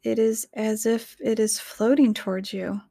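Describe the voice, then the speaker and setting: Female meditation guide, very slow pace, soft dark tone, soothing, scripted, dry close sound. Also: fairly high pitch, fairly even intonation, gravelly, no echo. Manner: soothing, gentle, measured delivery.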